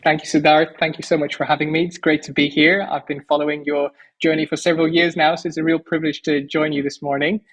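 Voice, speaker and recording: A man's voice: a little high, level tone, fast talker, fairly bright tone, rough recording. A man around forty from the Netherlands, a physician speaking as a podcast guest in an articulate, enthusiastic way. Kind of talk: podcast interview.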